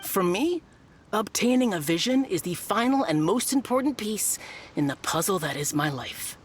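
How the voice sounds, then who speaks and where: An actress playing a confident, self-satisfied tournament challenger in a video game, with a lofty, self-assured manner. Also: female voice, mid-range voice, lively intonation, normal speed, slight background noise, lightly acted.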